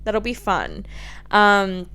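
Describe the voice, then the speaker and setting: Young woman, Australian accent, smooth clear voice, medium pitch, candid casual podcast chat. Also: animated, extremely slow, bright, forceful tone. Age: 20s. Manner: candid, informal, liberal filler "like" and swearing. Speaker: podcast host. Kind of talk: casual chatty solo podcast storytelling.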